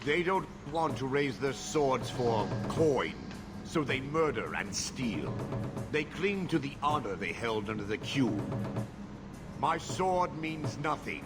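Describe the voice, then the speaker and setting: Horned mercenary outcast, stern, formal, widely swinging pitch, music behind, fantasy game. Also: male voice, very animated. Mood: grim, resigned. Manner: terse, formal, measured speech. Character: stern horned Qunari outcast, a self-scorning male mercenary. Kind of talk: fantasy video game acting.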